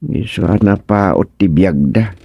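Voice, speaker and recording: A man acts out a muddled senior village councilman from a radio comedy, with an Ilocano accent. He talks slowly and haltingly, muttering and exclaiming to himself in a flustered way. The sound is close and dry. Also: on the low side, vocal fry, husky, dry recording, muffled recording, heavily acted. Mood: grumbling, musing.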